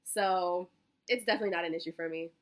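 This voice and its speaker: Young American woman, early twenties, bright forward voice, effusive and chatty, as an eager interview candidate. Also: fairly low pitch, fairly even intonation, quick pace. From Miami, Florida, United States. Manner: bubbly, effusive, giggly, chatty. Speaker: job interview candidate, college student. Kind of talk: casual phone interview answers.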